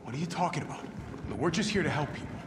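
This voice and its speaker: A man's voice: fairly high pitch, animated, rapid-fire, noisy background, natural voice. A man playing a cocky, easygoing young mercenary soldier in a video game, talking in a casual, flippant way. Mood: defensive, insistent. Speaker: video game character actor. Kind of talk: game cutscene acting.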